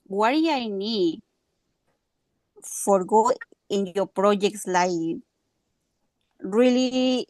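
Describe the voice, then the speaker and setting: Mexican woman guest on a video call, slow and halting, dry sound. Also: a little high, no room sound. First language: Spanish. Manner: halting, searching for English words. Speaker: audience member asking a question.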